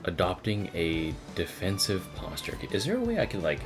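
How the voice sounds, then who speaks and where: A man's voice: low voice, wildly swooping pitch, normal speed. A man streaming a game, casually commenting and reading game text aloud in a profane, reactive manner. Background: game music.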